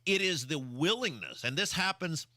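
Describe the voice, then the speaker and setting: A man's voice: on the high side, expressive, moderate pace, bright, forceful tone, no echo, clean studio sound. A man hosting talk radio, giving opinionated commentary in an exasperated, emphatic way with rhetorical questions. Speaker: radio talk show host.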